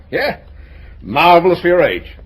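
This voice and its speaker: An exasperated middle-aged dad in an old radio comedy. His voice is husky and fairly high, swinging up and down as he blusters. He stumbles over words when flustered. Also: huge pitch swings, slow, exaggerated voice. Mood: jovial, teasing. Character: exasperated middle-aged father fond of a drink.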